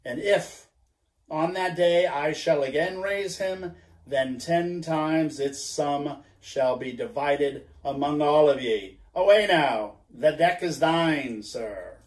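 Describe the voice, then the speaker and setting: A grim old sea captain, obsessed and commanding, hurls his archaic orders and declarations in a slow, heavy cadence, as a man puts him on for a storybook reading. The voice rings with a roomy echo around it. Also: a little high.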